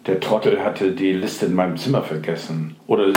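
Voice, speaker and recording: A man's voice: sits low, fairly even intonation, a little fast, natural voice. An actor playing a grumbling, defiant elderly care home resident telling his story in a radio drama, in a noisy, echoey room. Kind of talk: naturalistic radio drama monologue.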